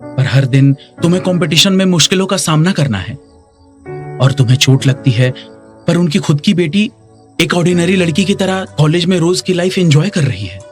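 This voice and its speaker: A man dubbing a businesslike recruiter in a Hindi drama, speaking in a measured, formal, persuasive way. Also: fairly high pitch, animated, faint music behind, natural voice. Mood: measured, critical. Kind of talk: Hindi dubbed drama acting.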